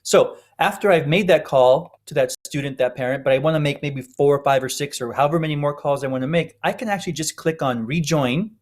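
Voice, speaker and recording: A man's voice: a little high, brisk, hardly any pauses. Man explaining steps in a tutorial. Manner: explanatory, step-by-step. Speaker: instructor. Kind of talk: informal screencast tutorial narration.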